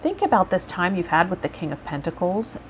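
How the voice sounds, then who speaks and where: A woman vlogging casually and reflectively to her viewers, rambling a little and pausing mid-thought, with some guided meditation cues. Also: on the low side, big pitch swings, a little hiss or hum. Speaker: host of a tarot vlog and healer.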